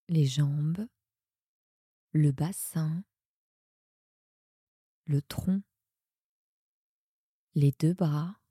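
Woman doing a sleep yoga nidra, super mellow hushed breathy voice, real slow and soothing. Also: deep voice, no room sound, clean studio sound. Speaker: yoga nidra guide and podcast host. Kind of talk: guided sleep meditation.

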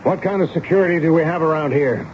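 A man's voice: on the high side, quick pace, darkish tone, lightly acted. An actor playing a stern but fatherly army major in a 1970s radio drama, firing questions with a commanding manner. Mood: stern, irritated. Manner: commanding, interrogating. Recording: dull, noisy old radio broadcast recording.

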